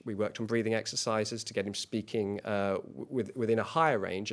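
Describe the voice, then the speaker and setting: A man co-founding a small company, giving a competition pitch in an earnest way and often restarting and repeating words. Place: event hall.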